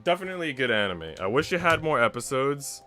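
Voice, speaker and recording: A man's voice: medium pitch, very animated, quick pace, pushed and bright, faint music behind. A man livestreaming in casual, conversational chat with his viewers. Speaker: livestreamer reading visual novels.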